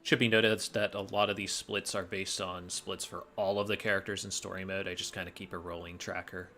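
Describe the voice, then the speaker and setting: Deep, bright-voiced male gameplay commentator. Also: little pitch movement, a little fast. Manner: matter-of-fact, instructive. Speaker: gamer commentator, speedrunner. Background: faint game music.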